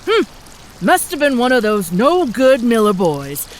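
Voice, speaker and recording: Woman as a lively-voiced stern frontier mother. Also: a little high, a little slow. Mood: stern, scolding. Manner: scolding, folksy. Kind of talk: audio drama acting. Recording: rain and water noise behind.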